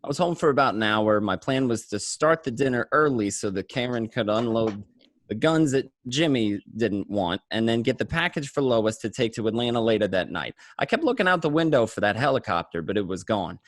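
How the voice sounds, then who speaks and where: A man's voice: medium pitch, expressive, fairly quick, dry recording, clean studio sound, natural voice. A man playing a paranoid, streetwise gangster narrating his day in a radio play, talking in a coarse, conversational way. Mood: matter-of-fact recounting. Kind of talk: first-person crime narration in a radio play.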